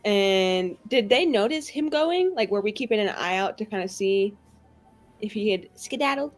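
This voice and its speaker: A woman's voice: mid-range voice, lively intonation, moderate pace, faint music behind. A woman playing a tabletop role-playing game, talking casually in and out of character. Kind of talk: casual in-character play and narration.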